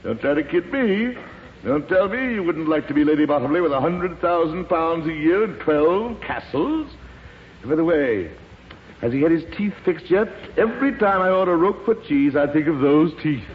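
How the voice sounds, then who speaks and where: A sharp-tongued, mocking male wit, voiced by an actor, slightly high and lively in his jabs. The sound is a muffled vintage radio broadcast with long reverb and a faint hiss. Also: moderate pace, natural voice. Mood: sardonic. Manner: sardonic, teasing, witty jabs. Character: acerbic, waspish male celebrity critic confined to a wheelchair. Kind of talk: 1940s radio comedy acting.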